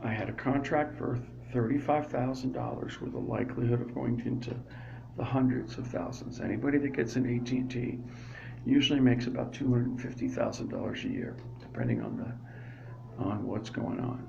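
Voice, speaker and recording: Gravelly guy rambling on a live stream in a library, phone mic, noisy. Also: mid-range voice, very animated, normal speed, muffled recording. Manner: rambling, restarts, trails off into asides. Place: public library indoors. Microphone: phone or laptop camera.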